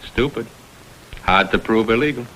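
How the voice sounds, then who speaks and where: A man's voice: mid-range voice, moderate pace, fairly bright tone, slight background noise, close to an everyday voice, poor audio. An American man playing a hard-nosed, sceptical police lieutenant in a vintage TV crime drama, blunt and terse. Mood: shrewd, terse. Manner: blunt, terse, world-weary.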